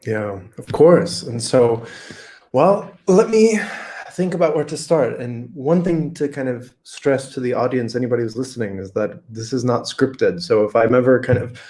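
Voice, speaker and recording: A man talking as a guest over a video call, energetic and thinking aloud with many restarts. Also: mid-range voice, normal speed. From United States. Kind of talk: unscripted conversation.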